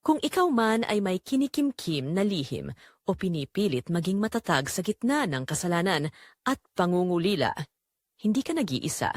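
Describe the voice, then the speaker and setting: A woman playing a guilt-ridden pregnant young wife, telling her secret as an anguished, measured, first-person dramatic confession. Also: fairly low pitch, no echo, clean studio sound. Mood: somber, reflective.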